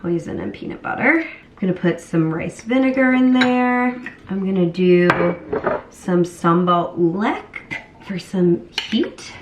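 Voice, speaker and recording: A young woman with a slightly low voice talks casually to her camera through her day at home, in a store and in the car. She is candid and full of fillers, and she has a cold. A steady noise hiss runs under her voice. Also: measured pace. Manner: chatty and candid, many fillers. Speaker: vlogger. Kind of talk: casual vlog talk to camera.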